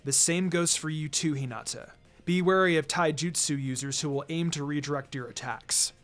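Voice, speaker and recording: A man voicing a calm, quietly menacing male ninja mentor in a storybook reading, measured and playfully condescending. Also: a little high, voice pushed down. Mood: stern. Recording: music behind the voice.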